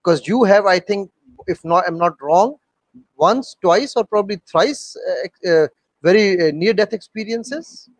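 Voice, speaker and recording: High-voiced male panel host, dry sound. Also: animated, measured pace, dry recording. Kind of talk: hosting a panel talk. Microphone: video call.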